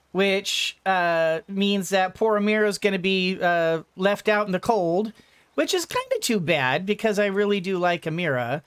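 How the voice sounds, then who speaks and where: A woman gently thinking aloud as she plays a farming game for her channel, her throat a little gunky today. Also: medium pitch, smooth. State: slightly congested throat. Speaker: gaming YouTuber. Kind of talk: let's-play commentary.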